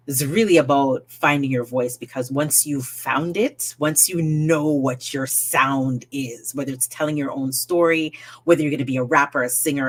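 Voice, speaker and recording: Woman, storyteller, interview guest, expressive delivery. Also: a bass voice, husky. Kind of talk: casual interview talk.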